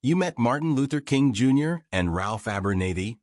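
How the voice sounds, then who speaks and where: A man's voice: mid-range voice, animated, moderate pace, darkish tone, dry recording, studio quality, close to an everyday voice. A man voicing an eager, curious young woman who hopes to write, speaking politely and inquisitively in audiobook dialogue. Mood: eager questioning.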